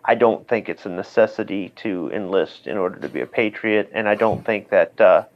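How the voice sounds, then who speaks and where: A man's voice: on the low side, brisk, a little hiss or hum. Dull-sounding man, blunt casual talk. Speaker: military veteran guest. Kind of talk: casual conversation.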